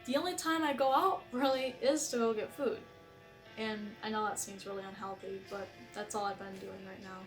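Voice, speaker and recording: A woman's voice: medium pitch, steady tone, moderate pace, music in the background. A young woman talking casually as a vlogger, chatty and asking her viewers questions. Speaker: student vlogger interviewing friends. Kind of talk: casual vlog narration.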